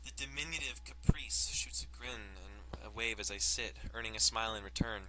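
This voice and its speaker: Low-voiced man joking over a game. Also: brisk, heavy background noise. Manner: reads game text aloud, swears and jokes between lines. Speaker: let's play host. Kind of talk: casual gameplay commentary and reading aloud.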